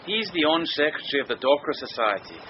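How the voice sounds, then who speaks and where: An actor playing an earnest, polite, patient police sergeant in a radio mystery drama. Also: male voice, a little high, big pitch swings, fast talker. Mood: earnest, deferential. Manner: polite, methodical, deferential. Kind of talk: radio comedy-mystery acting. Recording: dull lo-fi sound, noisy ambience.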